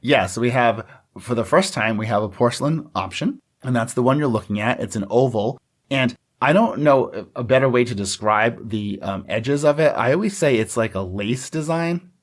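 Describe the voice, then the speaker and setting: A man's voice: medium pitch, normal speed. A man co-hosting a podcast in casual conversation, explaining things in a chatty, agreeable way. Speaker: podcast co-host. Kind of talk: casual scripted-outline podcast chat.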